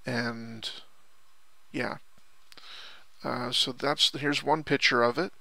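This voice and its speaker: A man's voice: medium pitch, fairly even intonation, slow pace, creaky, pushed and bright, dry recording. A man giving casual, unscripted product commentary in a rambling, hesitant way, often repeating himself. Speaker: online product reviewer.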